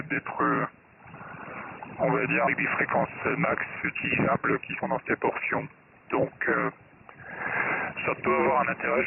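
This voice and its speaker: Over a thin, noisy radio link, a Frenchman explains technical matters off the cuff. His voice is lowish and heavily creaky, and he often stops to repeat himself or hunt for a word. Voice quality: gravelly, creaky. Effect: ham radio satellite link. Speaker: amateur radio operator explaining his software. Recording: noisy narrow-band radio transmission.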